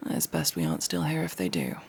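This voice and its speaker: A man playing a guarded, dry elf mercenary in an ASMR fantasy roleplay, gruffly protective and matter-of-fact, with a gravelly, sometimes breathy voice. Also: rumbling low, fairly even intonation, a little fast, full character voice. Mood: businesslike. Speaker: voice actor in audio roleplay.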